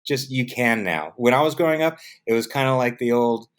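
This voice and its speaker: A man's voice: mid-range voice, a little fast, clear, resonant tone. A middle-aged man with an American accent giving casual, anecdotal interview answers, animatedly acting out bits of dialogue. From San Diego, United States. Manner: animated storyteller, acts out quoted dialogue. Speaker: interview guest, film production professional.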